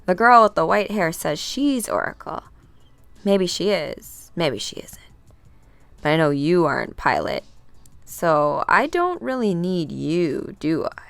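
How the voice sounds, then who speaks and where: A woman giving a dramatic, theatrical read-aloud of a comic book, acting out the characters slowly and deliberately. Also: on the low side, animated, creaky, fairly bright tone, dry recording. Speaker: comic book reader performing all characters.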